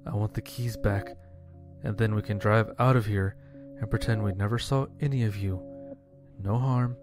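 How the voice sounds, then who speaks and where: A man's voice: on the low side, fairly even intonation, normal speed, music in the background, lightly acted. A man playing an exasperated nephew and family man, firm and frustrated, in an audio horror story. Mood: tense, controlled. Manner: forceful, frustrated.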